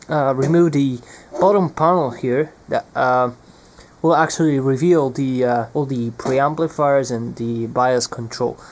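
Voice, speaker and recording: Slow, halting young man explaining hands-on. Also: fairly high pitch. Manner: halting, hesitant, searching for words. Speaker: presenter of an electronics teardown. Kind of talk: hands-on demonstration, unscripted explanation.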